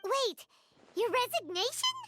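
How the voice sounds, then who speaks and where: A woman voicing a small, chatty fairy companion in an anime-style video game, with a squeaky childlike voice and a perky manner. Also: huge pitch swings, a little slow, sharp tone, heavily acted. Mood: surprised, curious. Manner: perky, refers to self in third person. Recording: music playing behind the voice.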